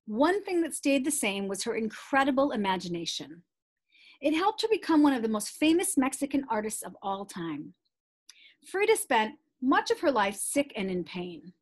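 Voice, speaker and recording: A middle-aged woman reads a children's book aloud expressively and deliberately, adding chatty explanatory asides for young listeners. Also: a little high, big pitch swings.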